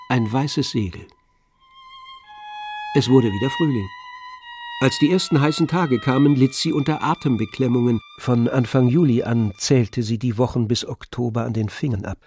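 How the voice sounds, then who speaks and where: Casting: male literary narrator for radio play, medium register, composed and measured, set against musical backing. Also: medium pitch, a little mellow.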